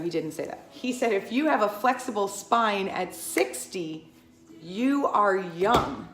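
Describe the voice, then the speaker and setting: A woman's voice: medium pitch, echoey room. A woman of about fifty, working as a Pilates instructor, talks to the camera in a chatty, rambling way, often restarting herself and going off on asides. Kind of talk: casual talking-to-camera vlog.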